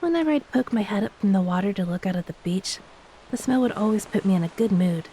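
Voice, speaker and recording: A woman plays an affectionate former mermaid, now a wife, in an intimate romantic audio drama. Her voice is dark and relaxed at a middle pitch, with a tender, gently playful way of talking to her beloved. A faint steady hiss sits behind the voice. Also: fast, close to an everyday voice, rough recording. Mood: wistful, nostalgic. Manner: tender, teasing, speaks softly to a beloved spouse. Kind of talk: romantic audio roleplay, intimate scripted acting.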